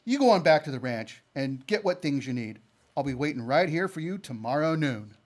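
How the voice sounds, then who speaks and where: Radio Western suitor played by a man: earnest, unbending cowboy, lightish pitch, simple country speech. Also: very animated, brisk. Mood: earnest, tender. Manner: plainspoken, rustic Western speech. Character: earnest, stubborn, unarmed cowboy in love. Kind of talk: old-time radio Western acting.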